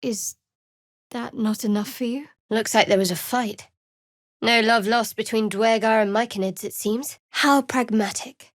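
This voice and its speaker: An actress playing a guarded, wry young female cleric in a fantasy video game, speaking in a cool, dry, measured way. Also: fairly high pitch, fairly even intonation, no room sound, close to an everyday voice. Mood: soft, earnest, then cool, pragmatic. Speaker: video game companion character. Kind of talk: scripted fantasy game voice acting.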